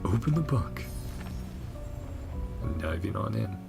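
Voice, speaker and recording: A woman's voice: a bass voice, sing-song, slow pace, gravelly, music in the background. A woman giving casual reaction commentary on a video game story, speaking in short fragments and murmured asides. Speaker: gaming streamer reacting to a story quest.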